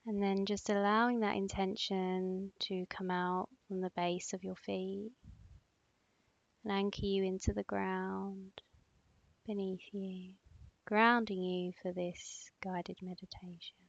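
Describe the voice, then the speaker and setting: Slow, even-toned woman guiding a meditation. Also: medium pitch, creaky, dry recording. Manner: soothing, instructing, with long pauses. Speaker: meditation guide. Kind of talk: guided meditation. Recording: slightly muffled, dull close dry recording.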